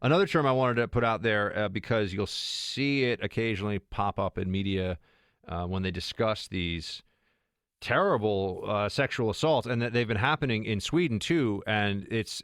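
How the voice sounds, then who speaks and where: A man's voice: on the low side, normal speed, fairly bright tone, no room sound, studio quality. A man hosting a talk radio show, talking off the cuff with many fillers and restarts. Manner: extemporaneous, with frequent fillers and restarts. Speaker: radio host.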